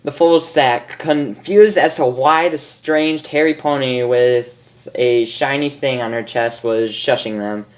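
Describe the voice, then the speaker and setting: A man's voice: mid-range voice, steady tone, takes it slowly. A man narrating a story as an amateur host, informal, stumbling over lines and correcting himself. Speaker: fan fiction reader and host.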